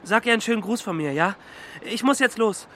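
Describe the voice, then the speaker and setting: A man's voice: sits high, lively intonation, a little fast, bright, forceful tone, slight background noise. An actor playing a brash but contrite teenage boy in an audio drama. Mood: earnest, forceful.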